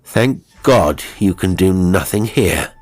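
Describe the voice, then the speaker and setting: A man playing a stern, earnest English gentleman who speaks bluntly and emphatically in a British accent. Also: deep voice, lively intonation, slow, no echo.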